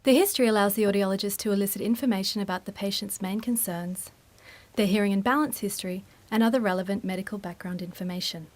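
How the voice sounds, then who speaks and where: A woman's voice: medium pitch, a little mellow. Woman narrating a formal instructional film. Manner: formal, measured explanation.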